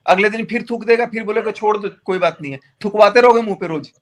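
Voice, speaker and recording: A high-voiced man on a recorded group phone call, pressing his point hard. He sounds argumentative and insistent, in the thick of a heated personal conversation. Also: fast.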